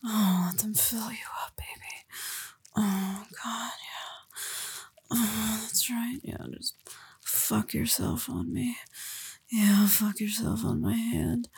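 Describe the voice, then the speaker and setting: Breathy whispering woman, slow and gravelly. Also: lively intonation, dry recording. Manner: soft breathy whispering, close to the listener; low murmured coaxing with sighs. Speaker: audio roleplay performer. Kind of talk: intimate whispered audio roleplay.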